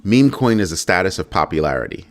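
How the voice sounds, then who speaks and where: A man with a very low, deep voice hosts a casual crypto livestream. He is middle-aged and talks loosely to his viewers, repeating phrases and tossing in playful asides. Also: sing-song, fast talker. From United States. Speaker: crypto show host.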